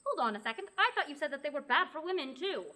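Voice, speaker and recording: An excitable, bossy young adventurer played by a young woman in a fantasy audio play. Her voice is very high and piercingly bright, swinging widely with exasperation. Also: fast. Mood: indignant. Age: young adult. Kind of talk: amateur fantasy radio play.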